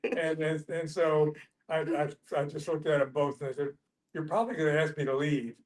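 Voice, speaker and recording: A man telling a casual personal anecdote in a halting, rambling, folksy way during a group discussion. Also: on the high side, steady tone. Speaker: discussion participant.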